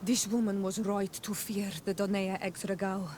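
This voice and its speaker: A woman's voice: medium pitch, little pitch movement, normal speed, mellow, slight background noise, close to an everyday voice. A woman playing a proud, fiercely protective young native clanswoman in a video game, blunt and accusing, with solemn diction. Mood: scornful, grave. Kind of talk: video game cutscene acting.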